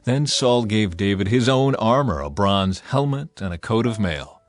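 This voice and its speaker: A man narrating scripture in a measured, dramatic reading. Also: lowish voice, animated. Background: faint music.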